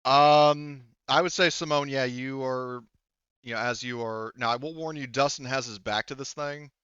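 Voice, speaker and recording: A man narrating a casual tabletop roleplaying game as game master, halting and thinking aloud as he works through rules. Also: medium pitch, fairly bright tone, clean tone, dry recording, dull audio.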